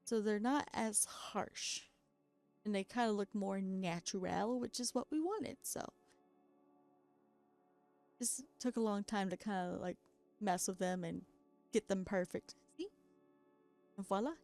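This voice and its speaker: A woman's voice: medium pitch, unhurried, long pauses, darkish tone, soft background music. A woman narrating her doll customizing in a casual voice-over. She is very tired after being up all night, her voice keeps cracking, and she chats along with frequent self-deprecating laughs.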